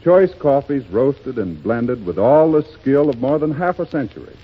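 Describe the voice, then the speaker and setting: Husky 1930s radio announcer man. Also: mid-range voice, sing-song, normal speed. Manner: smooth, persuasive ad-read delivery. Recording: dull 1930s radio broadcast recording.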